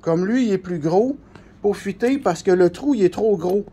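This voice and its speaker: A man's voice: high-pitched, lively intonation, moderate pace, dark tone, slightly rough. A man with a Quebec French accent giving an informal hands-on explanation, colloquial and repeating points for emphasis. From Canada. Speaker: CB radio shop technician.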